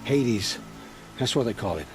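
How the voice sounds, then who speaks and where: An actor playing a frightened, world-weary scrounger turned informant in a video game drama, speaking in halting, grim, folksy storytelling phrases. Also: male voice, medium pitch, animated, soft background music, lightly acted. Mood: grave warning.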